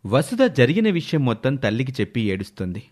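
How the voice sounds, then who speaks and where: A man reads out a scripted story as its narrator. His pitch sits in a middle range for a male voice. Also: big pitch swings, fairly quick, darkish tone.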